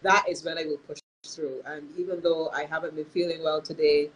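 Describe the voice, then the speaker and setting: A woman hosting a live-streamed talk as a counselor, sounding nasal from illness and speaking in an earnest, measured way with frequent restarts. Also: low-pitched, steady tone, slight background noise, poor audio. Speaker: host and counselor.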